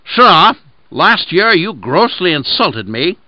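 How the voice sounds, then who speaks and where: A man voicing a cunning male wolf in a fable, speaking loudly and accusingly. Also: high-pitched, big pitch swings, slow, no echo, pitched above the performer's own voice, full character voice, low-quality recording. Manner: loud accusing.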